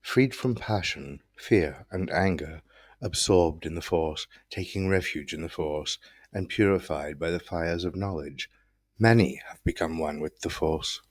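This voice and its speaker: A man with a deep voice reads sacred verses in a solemn style. He phrases them in a stately, deliberate way, and the recording is very dry. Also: no echo. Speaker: reader of quotations. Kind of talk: solemn reading of scripture quotations.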